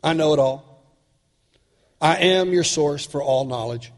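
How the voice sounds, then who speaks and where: A male pastor voicing a smug, self-important false teacher in a sermon, a little raspy from a cold. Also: on the high side, measured pace, long reverb tail, voice pushed down, close to an everyday voice. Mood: pompous.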